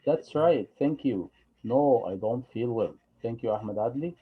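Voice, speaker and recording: A man teaches English in a calm, mid-pitched voice. He drills short phrases slowly and patiently so students can repeat them. The recording sounds dull and lo-fi. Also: slightly rough. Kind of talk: online English lesson.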